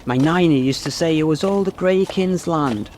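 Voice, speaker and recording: An actor playing a dutiful, mild, put-upon young knight in a comic radio play, polite and earnest. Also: male voice, on the high side, normal speed, a little mellow, a little hiss or hum, close to an everyday voice. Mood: reflective. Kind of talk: radio play comedy acting.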